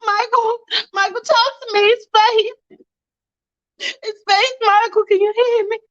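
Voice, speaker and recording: Woman performer as a high-pitched, desperate, pleading female lead in a told drama; lo-fi recording. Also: fairly even intonation, unhurried. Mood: tearful panic. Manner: repeats pleas urgently. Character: frantic, pleading, morally insistent woman named Faith. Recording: dull lo-fi sound with music behind.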